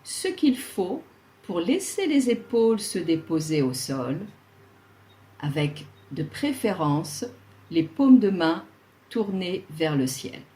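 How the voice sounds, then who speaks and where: A woman leading a guided yoga relaxation, speaking soothingly and leaving long pauses between short instructions. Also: on the low side, very animated, dark tone, low-quality recording.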